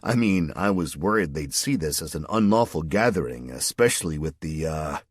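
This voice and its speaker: Male reader doing a dry-witted everyman, low, husky, close and dry. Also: expressive, normal speed, no echo. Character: wry, wary everyday man.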